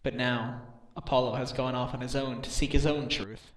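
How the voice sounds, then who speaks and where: A man's voice: medium pitch, fairly even intonation, moderate pace, no room sound, lightly acted. A man playing an earnest, troubled defense lawyer and father in a game fan dub, brooding and self-doubting. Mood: troubled, regretful. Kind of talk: dramatic visual novel dubbing. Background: background music.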